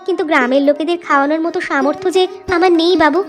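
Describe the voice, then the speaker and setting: A woman's voice: sits high, very fast, over music, natural voice. A woman voicing an eager, deferential village wife and home cook in a cartoon story. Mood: eager respectful.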